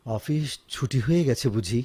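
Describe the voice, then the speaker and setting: An actor playing a weary, lonely office boss in a Bengali radio drama, speaking in a hesitant, reflective way. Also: male voice, medium pitch, animated, moderate pace, warm tone, husky.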